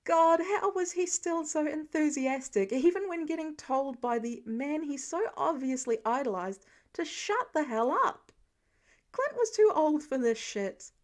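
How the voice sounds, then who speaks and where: A woman reading fiction aloud as a narrator, expressive, with dramatic and wry emphasis. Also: sits high. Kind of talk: expressive scripted story narration.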